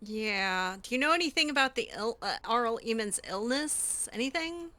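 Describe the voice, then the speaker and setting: A woman's voice: fairly high pitch, expressive, moderate pace, sharp tone. A woman hosting a role-play gaming video, commenting casually in character with an exasperated, sardonic manner.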